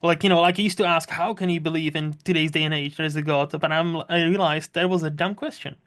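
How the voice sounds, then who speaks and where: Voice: mid-range voice, fairly even intonation, quick pace, a bit bright. Chatty podcast host, filler-heavy. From not from Scotland. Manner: chatty, many "like"s, frequent laughter. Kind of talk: casual conversation.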